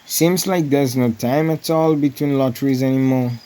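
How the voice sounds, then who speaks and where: A man's voice: mid-range voice, normal speed, dark tone, pitched above the performer's own voice, natural voice. A man voicing a folksy, plain-spoken village wife in a storybook reading, chatty and homely.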